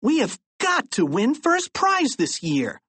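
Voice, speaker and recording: A man's voice: high-pitched, big pitch swings, measured pace, husky, no echo, pitched above the performer's own voice, full character voice. Man putting on an eager, cheeky schoolboy.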